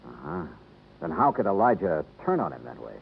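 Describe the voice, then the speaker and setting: Wry, animated American radio detective. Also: male voice, deep voice, a little fast, gravelly, a little hiss or hum, heavily acted. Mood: polite, persistent. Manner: clipped, probing, dry. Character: wry, dogged male insurance investigator narrating his case. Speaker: lead actor and narrator. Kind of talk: 1950s radio detective acting. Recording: tinny vintage radio recording.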